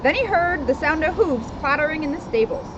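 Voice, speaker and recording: Woman, high animated voice, storybook read-aloud, dull sound, loud street ambience. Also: a little fast. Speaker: police officer reading a children's story aloud. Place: outdoors in a town street. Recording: dull outdoor recording with loud street noise.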